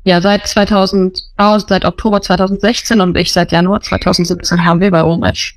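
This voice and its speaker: A woman's voice: medium pitch, little pitch movement, fairly quick, no echo. Earnest woman, searching for words. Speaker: missionary interviewee. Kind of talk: casual interview talk.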